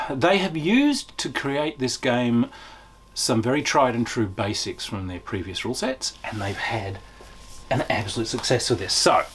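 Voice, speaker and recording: A man's voice: medium pitch, breathy edge. A man giving a casual, unscripted review as a tabletop game reviewer, enthusiastic and emphatic, drawing out key words.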